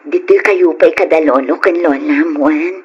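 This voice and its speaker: A woman acting in an old Ilocano radio drama as a devoted young mother, tender, pleading and emotional, with a husky voice. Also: low voice, normal speed, highs cut off. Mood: gently reassuring. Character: devoted young mother leaving her children to work abroad.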